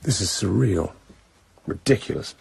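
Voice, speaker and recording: A man's voice: deep voice, lively intonation, unhurried, creaky, darkish tone, slightly rough, no echo, exaggerated voice. An actor with a British accent playing a cool, wry male secret agent in a radio drama, speaking in a dry, clipped way.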